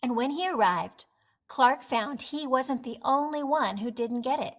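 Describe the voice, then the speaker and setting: A woman narrating a children's picture book aloud with expressive, deliberate phrasing. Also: sits high.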